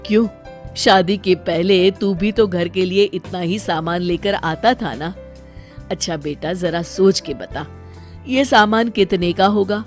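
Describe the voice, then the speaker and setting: A woman voicing a protective, wise older mother-in-law who gently scolds in an animated story. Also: on the low side, measured pace, soft background music, voice pushed down, lightly acted. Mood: calm, questioning. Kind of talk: animated moral story dialogue.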